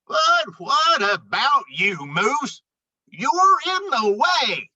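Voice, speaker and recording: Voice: high-pitched, sing-song, extremely slow, bright, forceful tone, lo-fi sound, voice pushed up, full character voice. A woman voicing exasperated animal friends in a children's storybook, scolding and questioning their bossy leader.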